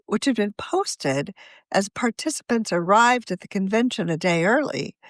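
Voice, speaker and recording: A woman who is a magazine deputy editor, reading her own article aloud in a measured, expressive way. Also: mid-range voice, no room sound.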